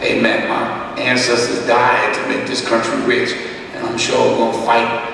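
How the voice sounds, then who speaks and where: A middle-aged man teaching a Bible study as pastor, talking conversationally with many fillers and a slightly rough voice, at a podium mic. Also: mid-range voice, monotone, normal speed, a bit bright, faint music behind. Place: church hall, indoors. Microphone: podium microphone, far camera. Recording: echoey hall, distant mic, background hiss.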